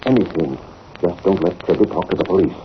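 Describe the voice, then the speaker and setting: A man's voice: low-pitched, brisk, natural voice. An actor playing a crooked, rattled man being blackmailed in an old radio crime drama. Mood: desperate. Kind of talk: 1930s-40s radio crime drama acting. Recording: muffled, noisy old radio recording.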